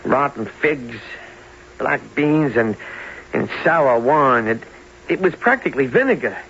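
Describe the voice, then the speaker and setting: Intense, bright-voiced young dreamer. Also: male voice, mid-range voice, expressive, measured pace, creaky. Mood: earnest, agitated. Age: young adult. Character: earnest, intense young man haunted by past-life memories. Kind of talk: 1970s radio drama acting. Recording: dull, noisy 1970s radio recording.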